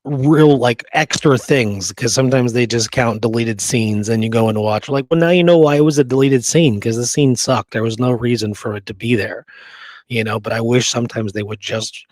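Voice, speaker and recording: A man's voice: mid-range voice, normal speed, a little mellow, no room sound. A man co-hosting a casual chat about films, giving his opinions informally. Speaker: co-host.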